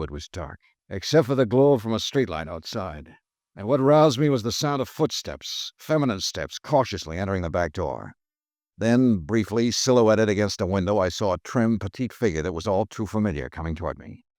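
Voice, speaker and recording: An actor playing a hard-boiled insurance investigator who narrates and questions people in a dry, laconic, wry way, in a 1950s radio drama. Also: male voice, low voice, lively intonation, normal speed, darkish tone, dry recording. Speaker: detective narrator.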